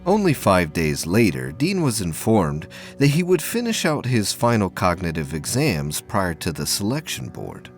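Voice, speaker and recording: A man's voice: lowish voice, big pitch swings, moderate pace, few pauses, faint music behind. A man reading scripted narration as the narrator of an audio drama.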